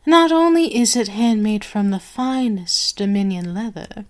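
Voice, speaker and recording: A woman's voice: medium pitch, lively intonation, a little slow, close to an everyday voice. A woman playing an imperious, sadistic vampire queen in an ASMR roleplay, formal and commanding, seductive and possessive as she savors each phrase. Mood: gloating, menacing.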